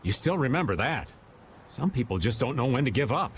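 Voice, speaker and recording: Game role: shifty older rogue, folksy and joking, very animated pitch, background noise. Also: male voice, fairly quick. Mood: teasing. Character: cheeky, shifty, easygoing old rogue. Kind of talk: video game voice acting.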